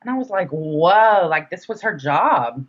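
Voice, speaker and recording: A woman's voice: on the low side, animated, unhurried, dull audio. A woman chatting casually as an interview guest, with an informal, slangy, enthusiastic manner. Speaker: interview guest, independent rapper.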